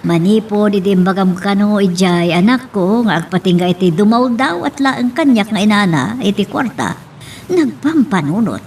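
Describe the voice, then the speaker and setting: A woman's voice: medium pitch, lively intonation, normal speed, darkish tone, a little hiss or hum, lightly acted. A woman with an Ilocano accent playing a middle-aged, grateful, chatty mother in an audio drama. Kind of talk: Ilocano radio-style drama acting. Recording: distant microphone, music behind.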